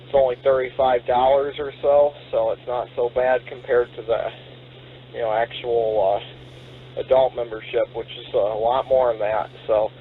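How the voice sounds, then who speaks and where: A man chats over amateur radio, casual and rambling, pausing often as he goes. His voice comes through a thin, phone-like radio link with a faint hum behind it. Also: mid-range voice, fairly even intonation. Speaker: amateur radio operator checking in.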